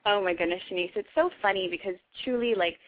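A woman's voice: medium pitch, quick pace. A woman from Hawaii chatting effusively as an interview guest, giggly and casual, over a phone call. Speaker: interview guest, model and entertainer. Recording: dull phone call audio.

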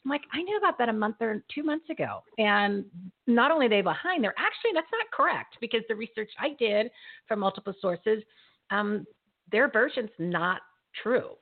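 A woman's voice: fairly high pitch, animated, moderate pace. Jersey woman hosting a live web show, talky, restarts a lot, sounds thin like over a phone. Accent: New Jersey. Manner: chatty, restarts sentences, laughs often. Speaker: host. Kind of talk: live online show chat. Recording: phone-like narrow audio.

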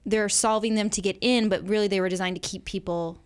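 A woman's voice: mid-range voice, steady tone, brisk, clean tone. A woman talking casually about a film as a guest co-host, in a loose, conversational and emphatic way.

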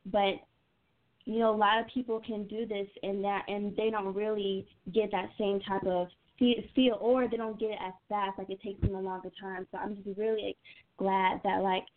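A woman's voice: medium pitch, flat delivery, moderate pace. Young woman on a tinny phone line. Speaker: singer being interviewed as guest. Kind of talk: casual, conversational interview answers.

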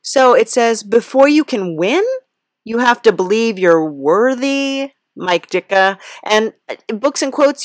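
Slow, halting woman podcast host. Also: medium pitch, no room sound. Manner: hesitant, halting, giggly. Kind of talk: casual comedic interview.

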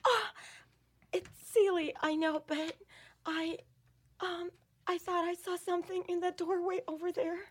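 A woman's voice: very high voice, fairly even intonation, a little slow, long pauses. A woman playing an anxious, timid young woman in a radio play, speaking hesitantly and fearfully. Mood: nervous, fearful.

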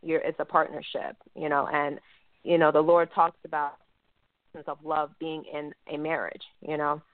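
A woman evangelist hosting a talk show interview, speaking softly and filling her sentences with "you know". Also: low-pitched, flat delivery, normal speed, dry recording. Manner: soft-spoken, many "you know" fillers. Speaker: host and evangelist. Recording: dry, phone-like line.

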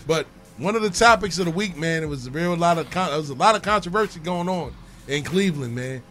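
A man hosting a casual sports talk show, loose and slangy, quick to laugh. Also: fairly high pitch, expressive, a bit bright, faint music behind. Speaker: show host.

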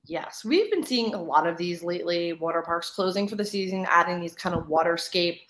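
A woman's voice: on the low side, brisk, fairly bright tone, a little hiss or hum. A woman co-hosting a podcast, chatting casually and giving her reactions to the news. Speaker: podcast co-host.

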